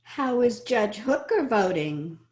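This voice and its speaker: A woman's voice: on the high side, very animated, slow pace, darkish tone. A woman playing a pert, opinionated teenage niece in an old-time radio comedy, challenging her elders. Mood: indignant. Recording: roomy remote home recording.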